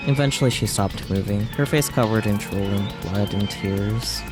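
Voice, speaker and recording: Low-voiced man streaming, music behind. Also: normal speed. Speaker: streamer playing a visual novel. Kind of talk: reading game text aloud with casual commentary. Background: video game music.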